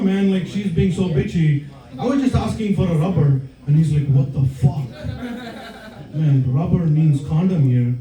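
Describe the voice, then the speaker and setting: A man from southern India with a South Indian accent, telling stories in a stand-up set with hesitant pauses and many fillers. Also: on the high side, dark tone. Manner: hesitant, filler-heavy storytelling with many ums. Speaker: stand-up comedian. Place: comedy club stage. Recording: roomy club sound from far off.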